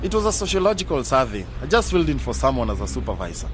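Man acting an animated young boyfriend. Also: medium pitch, very fast, soft background music, close to an everyday voice. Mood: coaxing. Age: 20s. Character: coaxing young boyfriend, disillusioned jobless graduate. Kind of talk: radio drama acting.

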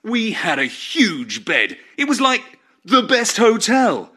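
A man acts out a breezy, self-assured uncle from a children's story. He drawls colloquially and emphatically, taking his time, with a high voice that leaps about. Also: wildly swooping pitch, slow pace, pushed and bright, husky, full character voice. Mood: breezy, pleased. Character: breezy, charmed, self-assured younger or middle-aged uncle.

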